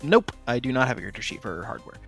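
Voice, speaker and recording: A man's voice: mid-range voice, big pitch swings, racing, creaky, a bit bright, breathy edge, faint music behind. A man acting as game master, narrating casually and improvising with players at a tabletop game.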